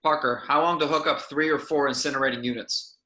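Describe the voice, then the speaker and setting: A man's voice: medium pitch, steady tone, fast talker, pushed and bright, close to an everyday voice. A man playing a decisive spaceship captain in an amateur table read of a sci-fi script, recorded in a roomy space with echo. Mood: commanding. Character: decisive male spaceship captain giving orders.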